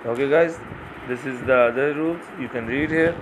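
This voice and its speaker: Halting man, noisy phone recording. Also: mid-range voice. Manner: halting, repeats "you can see here" and "so guys". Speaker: crypto site walkthrough narrator. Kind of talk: screen-recorded tutorial voice-over.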